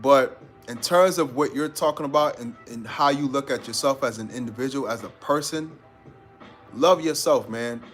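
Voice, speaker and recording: Young New man, live-stream host, casual slangy pep talk, mid-pitch voice, faint music underneath. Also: moderate pace, a bit bright. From New York. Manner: laid-back, slangy, calls listeners bro.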